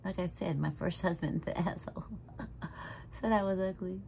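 A woman's voice: fairly low pitch, steady tone, normal speed, gravelly, a little mellow, slight background noise. A woman, chatting frankly and feistily while doing her makeup at home, close to the camera, sometimes dropping to a half-whisper. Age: 60s. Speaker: makeup vlogger. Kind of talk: casual makeup tutorial chat. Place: living room at home, indoors. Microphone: camera close in front.

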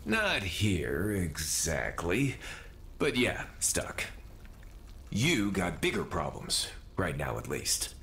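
A slow, wry, weary drifter. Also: male voice, sits low, huge pitch swings, vocal fry, a bit bright, exaggerated voice. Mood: weary, sardonic. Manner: sardonic, halting, laconic. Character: weary, wry, battle-worn young man, alternate self. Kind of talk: video game cutscene acting. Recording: roomy echo with faint noise.

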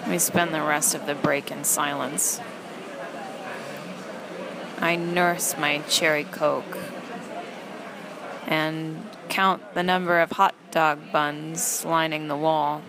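A woman playing a sardonic young fast-food trainee who narrates an audio play in a dry, deadpan way. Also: low-pitched, background noise. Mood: dry, unimpressed.

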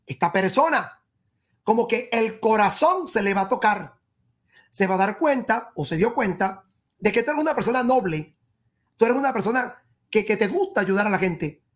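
A woman giving a tarot reading straight to the listener, emphatic, repeating and rephrasing in short phrases. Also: fairly high pitch. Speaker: tarot reader and horoscope host. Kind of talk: spoken tarot reading addressed to the viewer.

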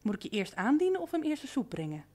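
Housemaid for radio play: cajoling, possessive, familiar, richly varied intonation. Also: female voice, high-pitched, very animated, fast talker, mellow. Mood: coaxing. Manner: cajoling, intimate. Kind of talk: radio drama acting.

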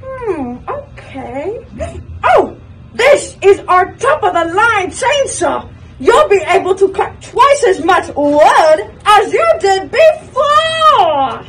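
An eager, easily exasperated puppet shop clerk, played by a young woman, with a very high voice that swoops around. The delivery is drawn-out and exaggerated, as in an amateur puppet skit. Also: sing-song, a bit bright, slight background noise, full character voice, poor audio. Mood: eager, helpful. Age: young adult. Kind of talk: school puppet show skit acting.

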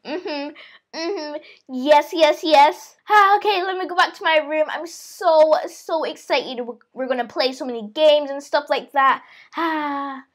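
Excitable very high-voiced teenage girl gamer. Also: unhurried. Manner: excitable, repeats exclamations. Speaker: gaming video host. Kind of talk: dramatic roleplay commentary.

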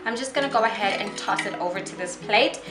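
A woman's voice: mid-range voice, fast. A young woman from South Africa in her twenties, presenting a casual home cooking tutorial in a chatty, upbeat way. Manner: chatty, upbeat, laughs often, talks to her toddler. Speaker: cooking vlogger. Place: home kitchen, indoors. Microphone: camera on tripod at a distance. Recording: roomy kitchen sound, from a distance, music behind.

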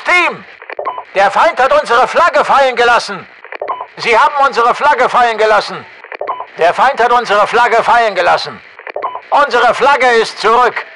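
A man's voice: extremely high pitch, sharp tone, a little hiss or hum, rough recording. A male actor playing a commanding masked special forces soldier, giving clipped military reports and orders through a radio filter for a shooter game. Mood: brisk, reporting. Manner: clipped short barked orders and status reports.

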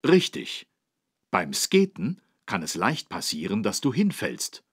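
A husky-voiced man narrating a children's learning game, explaining things in an encouraging, animated way with careful phrasing. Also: on the low side, slow, dry recording, studio quality. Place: dry studio.

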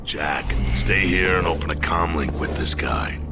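An actor playing a battle-hardened soldier squad leader who gives curt, gruff orders. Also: male voice, a little slow, over music, heavily acted. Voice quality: deep gravelly voice.

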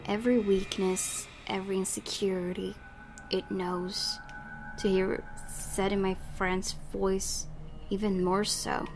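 A woman's voice: mid-range voice, level tone, measured pace, music in the background. A woman hosting a gaming video, reading visual novel narration aloud with dramatic delivery and casual asides.

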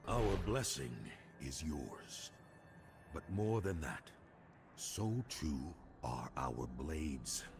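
An actor playing the ghost of a proud old warrior chieftain in a fantasy game, solemn and stately, with a gravelly voice. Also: male voice, very deep voice, exaggerated voice. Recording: music behind the voice.

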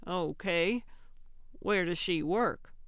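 A woman's voice: lowish voice, slow pace, clean tone, dry recording, clean studio sound. A woman voicing a brusque, cranky older woman in an audiobook, snappish and blunt but well-meaning. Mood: brusque, probing. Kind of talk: audiobook character acting.

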